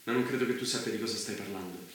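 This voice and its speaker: A male actor as an idealistic interviewer in a theatrical drama, with a bright, tense voice in a very echoey room. He probes and challenges politely but with a confrontational edge. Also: fairly low pitch, rapid-fire, lightly acted. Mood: challenging. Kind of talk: theatrical stage drama dialogue.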